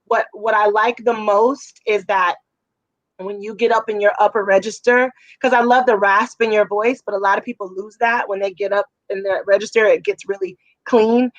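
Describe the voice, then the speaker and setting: A woman with a raspy voice giving casual, effusive feedback as a judge at a music showcase. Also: medium pitch, steady tone, moderate pace, dry recording, lo-fi sound. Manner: effusive, chatty, full of fillers.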